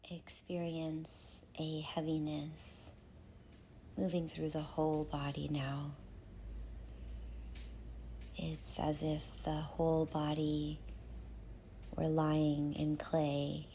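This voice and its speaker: Woman, meditation guide, soft breathy half-whisper, soothing, narrow even pitch, long pauses, faint background ambience. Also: a little hiss or hum.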